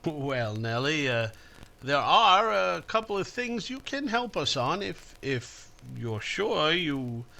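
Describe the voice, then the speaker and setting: A folksy small-town police chief in a radio play, acted by a man, bright and fairly high in voice. He is hesitant and stammering as he questions, with lively swings of pitch. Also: slow, vocal fry, exaggerated voice. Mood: hesitant, probing. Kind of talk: old-time radio acting.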